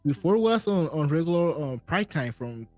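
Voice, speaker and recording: A man hosting a casual panel chat in English, telling stories in an animated way. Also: a little high, moderate pace, dry recording, faint music behind. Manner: non-native English phrasing, animated storytelling. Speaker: show host.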